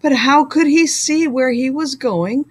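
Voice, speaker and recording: A woman reading a children's story aloud like a school librarian, with deliberate, expressive delivery. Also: high voice, slight background noise.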